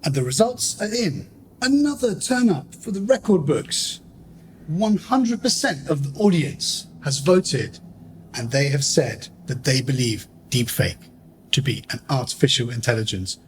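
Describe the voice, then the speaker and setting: A man's voice: on the high side, big pitch swings, unhurried, slight background noise. A man playing a grandiose theatre host and poet in a radio play, pompous and declamatory. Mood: dramatic.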